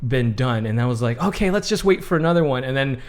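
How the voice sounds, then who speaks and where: A man in his thirties co-hosting a podcast, telling a story in a loud, animated way, full of fillers and restarts. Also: medium pitch, fast talker. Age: 30s. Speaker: podcast co-host. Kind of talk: casual chat, storytelling.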